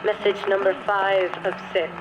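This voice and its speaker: An automated playback machine voice in a video game, announcing numbered messages in a flat, formulaic way. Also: medium pitch, moderate pace, background noise, natural voice. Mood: impersonal. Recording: muffled, radio-like, under loud music.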